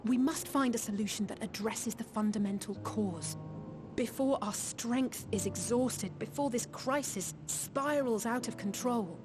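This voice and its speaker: An actress voicing a resolute, analytical young adventurer in a fantasy video game, articulate and deliberate. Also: female voice, a little high, lightly acted. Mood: urgent, determined. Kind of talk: scripted video game voice acting. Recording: background music under the voice.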